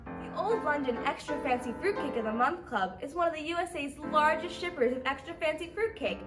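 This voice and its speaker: High-pitched woman radio ad announcer. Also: brisk. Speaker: commercial announcer. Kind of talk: old-time radio advert, gushing sales read. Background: music.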